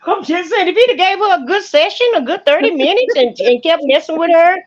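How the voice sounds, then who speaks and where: A woman's voice: extremely high pitch, expressive, moderate pace. A woman commenting on a live stream, gossiping casually in a blunt, opinionated, slangy way. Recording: dull, lo-fi stream audio.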